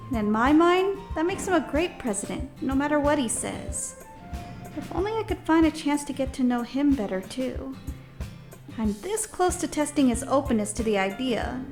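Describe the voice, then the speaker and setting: Very high-voiced earnest schoolgirl heroine actress. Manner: bright-hearted, wistful inner narration and dialogue. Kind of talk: visual novel audio drama acting.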